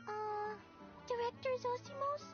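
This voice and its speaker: Excitable, very high-pitched little girl. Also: a little slow. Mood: curious, unsure. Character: excitable, curious little girl, innocent and cheerful. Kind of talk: video game character acting. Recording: slightly muffled game capture under music. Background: game music.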